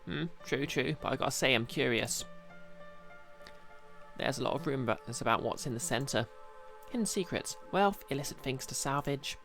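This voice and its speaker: A man's voice: medium pitch, normal speed, fairly bright tone, faint music behind, lightly acted. A man voicing a brash, curious scavenger guide in a visual novel, with informal, slangy speech. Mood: curious, probing.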